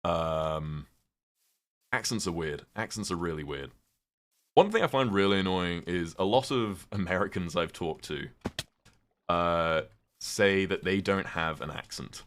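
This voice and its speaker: A man's voice: low voice, unhurried, a bit bright. A young British man chatting casually with his stream chat while playing a game, rambling with lots of fillers. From Britain.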